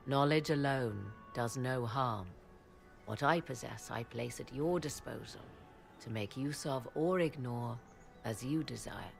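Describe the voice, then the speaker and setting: Aloof sardonic sorceress, fantasy game, gravelly creak, theatrical archaic delivery, faint music behind. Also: female voice, very deep voice, unhurried, full character voice. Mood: persuasive, mysterious. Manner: arch, archaic, theatrical phrasing. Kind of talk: fantasy video game dialogue.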